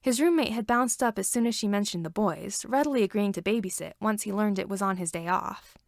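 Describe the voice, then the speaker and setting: A woman's voice: mid-range voice, a little fast, vocal fry, no room sound. A woman reading a story aloud as scripted narration. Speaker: fan fiction reader.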